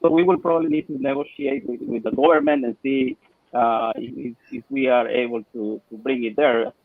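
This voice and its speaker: A man's voice: mid-range voice, expressive, brisk, creaky, no echo, phone-quality sound. A man from El Salvador on a live team stream, speaking hesitantly and repeating his words. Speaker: project team member.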